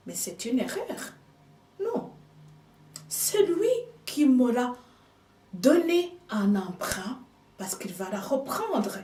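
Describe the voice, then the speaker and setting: Woman preaching slow and emphatic, lively high voice, echoey room and a bit far off. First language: another language than French. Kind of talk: sermon. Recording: echoey room, speaker off mic.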